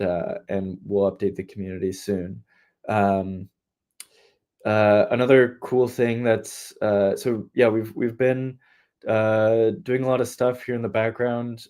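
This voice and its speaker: Stand-in town hall host, male, congested and a touch nasal, low mellow voice, relaxed delivery over a video call. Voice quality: slightly nasal. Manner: relaxed, conversational, easygoing. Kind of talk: casual meeting update.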